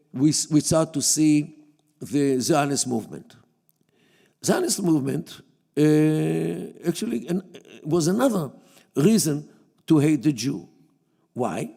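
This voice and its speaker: A man whose first language is Yiddish gives a public lecture, talking haltingly with many fillers and restarts. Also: on the high side, creaky, mellow. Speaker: guest lecturer. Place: synagogue hall. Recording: long hall reverb.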